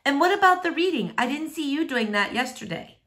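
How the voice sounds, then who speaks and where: Storybook mom, done by a woman reader: pitched up, nagging, brisk and teasing. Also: lively intonation, a bit bright. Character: nagging, teasing, no-nonsense mother of a boy.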